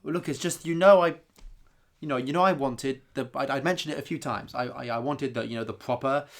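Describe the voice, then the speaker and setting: A man with a British accent playing a petulant collector-nerd podcast co-host, hesitant and repetitive, in a deadpan comedy show. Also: medium pitch, fairly quick, close to an everyday voice. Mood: sulky, petulant. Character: petulant, sulky male collector-nerd fan.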